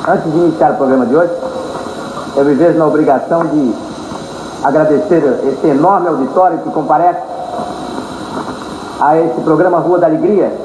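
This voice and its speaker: A man's voice: on the high side, normal speed, pauses often. Brazilian man, declamatory variety show presenter, echoey hall sound, old noisy radio recording. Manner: formal, declamatory.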